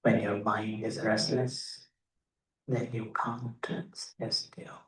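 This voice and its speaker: Slow, even-toned man guiding meditation, echoey room. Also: fairly low pitch. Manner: measured, pausing between short phrases. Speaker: meditation teacher. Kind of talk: guided meditation instruction. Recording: roomy echo, distant microphone.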